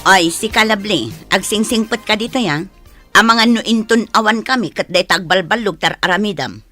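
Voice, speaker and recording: A woman's voice: medium pitch, moderate pace, sharp tone, faint music behind, lightly acted. A woman playing a stern, exasperated village mother who scolds, in an Ilocano radio drama. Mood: angry scolding.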